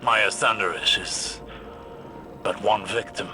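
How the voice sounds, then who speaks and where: An actor playing a grave, world-weary older mentor in a video game, speaking in a measured, philosophical way. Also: male voice, on the low side, fairly even intonation, over music. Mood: solemn, rueful. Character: grave, contemplative, world-weary male scholar-warrior mentor. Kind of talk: video game dialogue acting.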